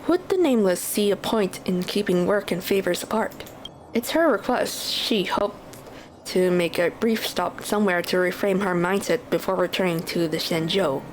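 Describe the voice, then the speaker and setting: A woman's voice: on the low side, moderate pace, slight background noise, lightly acted, rough recording. A woman voicing a composed, thoughtful space traveller as she reads video game dialogue aloud. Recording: game music behind.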